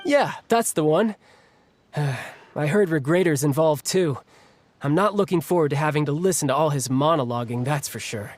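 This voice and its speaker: A man's voice: a little high, lively intonation, normal speed, close to an everyday voice. Man playing a cocky, breezy young game warrior. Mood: breezy, grumbling. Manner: casual, breezy, teasing. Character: cocky, playful young male warrior, battle-hungry harbinger. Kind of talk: video game voice acting.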